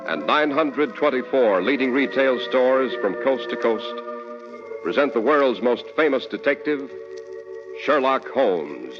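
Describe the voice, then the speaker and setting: A man with a mid-pitched, very animated voice announces in 1940s radio style. His delivery is scripted, polished and salesmanlike. It comes through as a muffled, reverberant old broadcast. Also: a little slow, over music.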